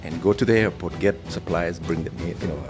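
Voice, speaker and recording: A middle-aged man from Kenya with a Kenyan accent, telling his life story as an interview guest, animated and full of "you know". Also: low voice, brisk, music in the background.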